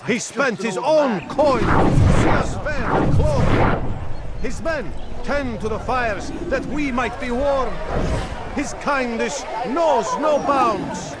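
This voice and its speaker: An actor playing a grandiose town crier who proclaims reverent, drawn-out praise of his patron in a video game. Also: very high-pitched, heavy background noise. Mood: fervent praise. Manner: declamatory, reverent, drawn-out delivery.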